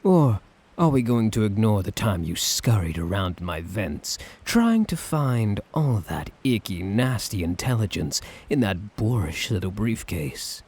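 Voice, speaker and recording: A suave, lovestruck tyrant, played by a man for an ASMR roleplay, speaks in an unhurried, very husky murmur. He is theatrical and teasing, lilting widely as he dotes with condescending affection and a sadistic edge. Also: fairly low pitch, big pitch swings, a little mellow, heavily acted. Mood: doting, teasing. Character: suave, sadistic, doting male tyrant, obsessively in love.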